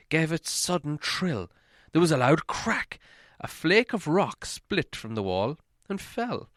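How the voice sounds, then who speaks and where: A man's voice: a little high, a bit bright, no echo, studio quality. A man reading a story aloud as a dramatic audiobook narrator.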